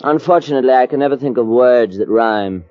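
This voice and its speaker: A man's voice: mid-range voice, fairly quick, lightly acted. An actor playing a drunken, mocking and menacing husband in old radio melodrama style, pompous and wheedling in his florid talk. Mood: smug, tipsy. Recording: dull old radio recording.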